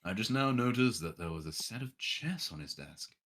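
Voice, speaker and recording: A man's voice: lowish voice, expressive, moderate pace, fairly bright tone. A man streaming a visual novel, narrating aloud and breaking off into irreverent, sweary joking commentary. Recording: faint music behind the voice.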